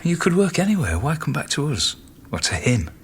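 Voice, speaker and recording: A man's voice: fairly high pitch, very animated, a little fast, slight background noise. An actor playing a kindly, awkward male colleague in a radio drama, hesitant and apologetic. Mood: awkward, concerned. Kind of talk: naturalistic radio drama acting.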